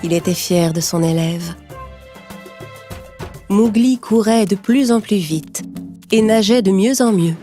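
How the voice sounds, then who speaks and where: A woman narrating a scripted children's story in a measured storytelling manner. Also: mid-range voice, warm tone, faint music behind.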